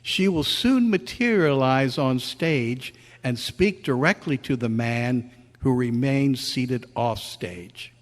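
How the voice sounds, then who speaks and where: Measured, earnest man in an echoey hall. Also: medium pitch. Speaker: playwright and director. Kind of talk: spoken introduction and slow play narration. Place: convention meeting room before an audience. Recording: echoey hall, long reverb.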